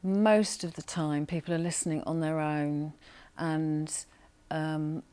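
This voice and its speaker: A woman's voice: sits low, level tone, slow pace, mellow, clean tone. A woman radio drama producer giving advice in an interview, listing her points with emphasis.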